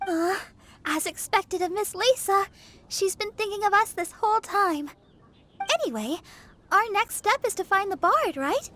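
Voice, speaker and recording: A woman's voice: very high-pitched, expressive, a little slow, a bit bright, exaggerated voice. A woman voicing a cheerful, caring young maiden who is eager to help, in an anime video game. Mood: helpful, upbeat. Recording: music behind the voice.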